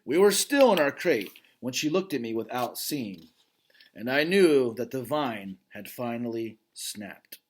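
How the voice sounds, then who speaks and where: A father reads a children's book aloud in a lively voice that swings up and down in pitch. He stops now and then to talk straight to his listeners about the story, then goes back to reading. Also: unhurried. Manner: expressive reading, breaks off to comment directly to listeners. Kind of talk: reading a children's novel aloud with chatty asides.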